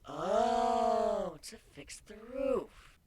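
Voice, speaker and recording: A man voicing a young female changeling in a story reading, gentle, teasing and inquisitive, with soft breathy delivery. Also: sits high, lively intonation, very slow, voice pushed up, heavily acted. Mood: happy.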